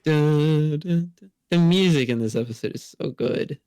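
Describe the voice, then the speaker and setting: A man's voice: a little high, a little slow, dark tone, clean tone, dry recording, studio quality. A teenage young man co-hosting a podcast, giving a casual recap commentary. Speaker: podcast co-host.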